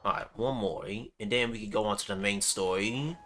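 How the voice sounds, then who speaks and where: A man's voice: lowish voice, moderate pace, a bit bright, slight background noise. A man hosting a casual gaming livestream, talking in a laid-back, slangy way. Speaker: livestream host.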